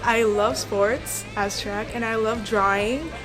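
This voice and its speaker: A woman's voice: fairly high pitch, fairly even intonation, takes it slowly, over music, low-quality recording. A teenage girl from Togo in West Africa, a native French speaker, giving an informal testimonial as a student.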